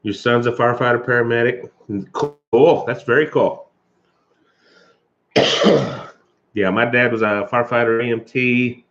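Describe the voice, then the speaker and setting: A man's voice: medium pitch, fairly even intonation, measured pace, pauses often, fairly bright tone, highs cut off. A man hosting a live stream, chatting loosely with viewers, chuckling often and coughing from allergies. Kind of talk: casual chat with live viewers.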